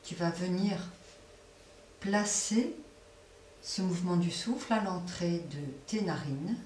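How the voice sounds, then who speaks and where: Woman, guided meditation, soft delivery, long pauses, echoey space, faint background noise. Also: lowish voice. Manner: soft, unhurried, with long pauses between phrases. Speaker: yoga nidra teacher. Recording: roomy echo, distant mic, faint noise.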